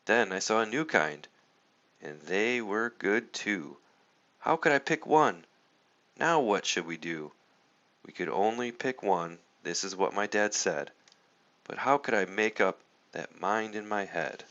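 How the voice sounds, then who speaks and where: A man reading a children's storybook aloud in an expressive, playful, rhythmic way. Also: on the low side, measured pace, muffled recording. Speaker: storybook reader.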